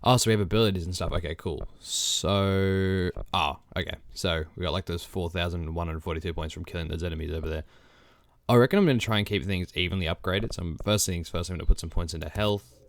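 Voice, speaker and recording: A man with a hoarse, croaky voice from a cold, casually thinking out loud as he plays a video game. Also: sits low, steady tone, fast, no room sound. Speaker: gameplay channel host. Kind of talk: casual let's-play commentary.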